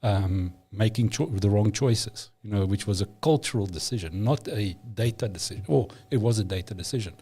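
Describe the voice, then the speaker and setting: Mellow-voiced man. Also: on the low side, a little fast, few pauses, breathy edge. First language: Afrikaans. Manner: emphatic, opinionated, frequent restarts. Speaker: podcast guest. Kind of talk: casual conversation.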